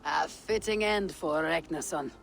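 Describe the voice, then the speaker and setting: Terse, dry-witted Viking warrior. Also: female voice, low voice, unhurried, gravelly. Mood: wry. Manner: terse, wry, unbothered. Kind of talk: video game cutscene acting.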